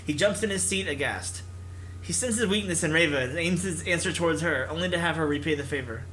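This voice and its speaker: A man's voice: a little high, fairly quick, a bit bright, slight background noise, low-quality recording. A man hosting a gaming video, reading narration expressively and mixing in casual commentary.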